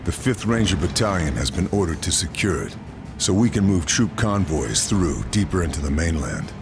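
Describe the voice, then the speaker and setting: A man's voice: deep voice, big pitch swings, moderate pace, music in the background. A man playing a stoic Allied sniper in a war video game, terse and grave as he narrates his missions. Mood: grave, determined. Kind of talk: scripted cinematic mission narration.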